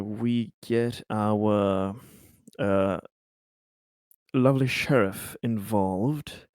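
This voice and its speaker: A man playing a tabletop roleplaying game, talking through his plans in a halting, deliberate and rather formal way. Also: mid-range voice, darkish tone, dry recording, clean studio sound. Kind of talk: improvised in-character discussion.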